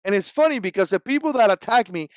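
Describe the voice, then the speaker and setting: A man in his forties speaks English with a Cuban Spanish accent, his first language being Spanish. His voice sits high for a man, and he hammers his points home with emphatic repetition. The recording is close and dry, with no room sound. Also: expressive, fast, dry recording. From Cuba. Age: 40s. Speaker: vlogger. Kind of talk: opinion commentary vlog.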